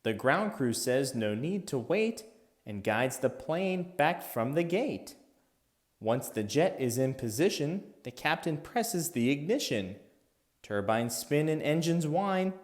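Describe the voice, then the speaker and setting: A man narrating a rhythmic children's picture book as an expressive read-aloud for young listeners. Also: a little high, slow.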